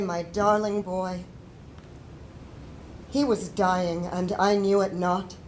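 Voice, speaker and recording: An older woman playing a grieving, devout Civil War mother in a solemn, deliberate dramatic letter reading. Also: medium pitch, a little mellow, slight background noise, natural voice, poor audio. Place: indoor stage before a projection screen.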